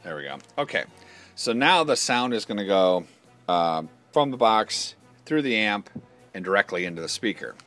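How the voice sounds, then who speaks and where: A man's voice: on the low side, very animated, moderate pace, fairly bright tone, soft background music. A man demonstrating a product in an informal, matter-of-fact, step-by-step instructional style. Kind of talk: informal hands-on instructional walkthrough.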